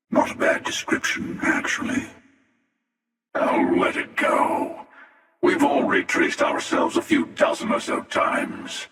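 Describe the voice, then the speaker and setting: Slow, echoing, taunting space hunter. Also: male voice, deep voice, creaky, a bit bright, heavily acted. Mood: sardonic amusement. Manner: taunting, sardonic, unhurried. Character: ruthless, world-weary, ancient male space-traveling hunter. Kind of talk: video game character acting. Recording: roomy, distant, long reverberant echo.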